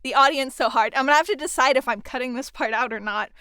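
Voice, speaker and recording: Young adult woman host, smooth and higher-pitched, casual and enthusiastic, dry close mic. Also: quick pace, sharp tone, no echo. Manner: casual and enthusiastic, fillers and restarts. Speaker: podcast host and sound designer. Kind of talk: casual podcast interview.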